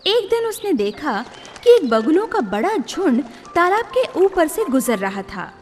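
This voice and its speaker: A woman storyteller reading scripted children's tales in a deliberate, expressive manner. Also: high voice. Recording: long reverb, music behind.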